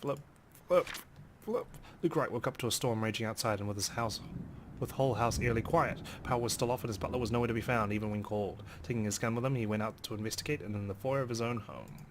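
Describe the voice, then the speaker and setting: A man's voice: mid-range voice, quick pace, a little hiss or hum. A man reading a visual novel's narration aloud as a let's play host.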